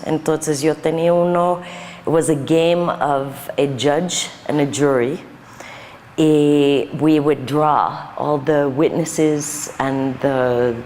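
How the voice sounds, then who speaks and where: Older woman, american accent, english native, very deep mellow voice, slow halting talk, long reverb and faint hiss. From United States. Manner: halting, mixes Spanish and English. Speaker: guest artist. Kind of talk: interview answers.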